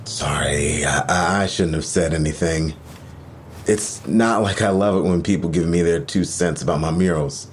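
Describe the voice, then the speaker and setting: Deep-voiced, halting, earnest boyfriend, played by a man. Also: slight background noise, close to an everyday voice. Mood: hesitant. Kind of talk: naturalistic audio drama dialogue.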